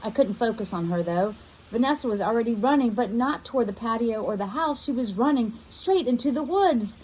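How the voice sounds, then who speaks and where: A woman reads a children's first-person novel aloud in an expressive storytelling style, as a teacher would. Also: fairly high pitch, brisk, slight background noise, rough recording.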